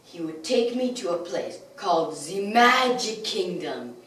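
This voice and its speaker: A young girl playing a bitter, grandiose male villain in a theatrical stage monologue, with dramatic pauses and swelling outbursts. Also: mid-range voice, expressive, fairly bright tone. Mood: brooding storytelling. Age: child or young teenager, 13 or under. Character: melodramatic male soap-opera villain, bitter and grandiose crime lord. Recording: distant, echoey room with background noise.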